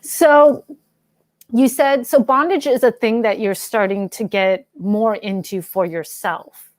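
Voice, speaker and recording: A woman's voice: on the high side, takes it slowly, darkish tone, no echo. A woman interviewing a guest in a casual, conversational way as the host.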